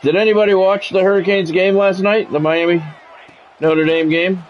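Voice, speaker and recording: A man's voice: sits high, fairly even intonation, normal speed, a little hiss or hum. A man livestreaming a game, mixing casual, opinionated chat with sports play-by-play commentary. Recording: dull lo-fi audio, music behind. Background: music.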